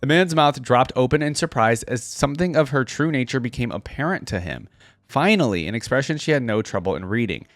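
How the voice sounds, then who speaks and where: A man reading a story aloud with expressive storytelling and reacting to it as a reaction video host. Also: mid-range voice, brisk, dry recording, studio quality.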